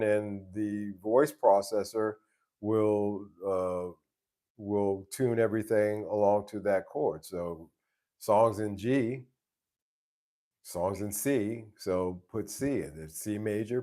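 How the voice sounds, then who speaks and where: A man with a very low voice hosting a casual live stream, answering viewer questions in an easygoing, rambling way with many restarts. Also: takes it slowly, mellow. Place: live stream from home base abroad.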